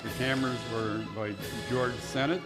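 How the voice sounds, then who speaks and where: A man's voice: medium pitch, steady tone, normal speed. A man announcing a radio play, reading scripted narration and credits. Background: loud music.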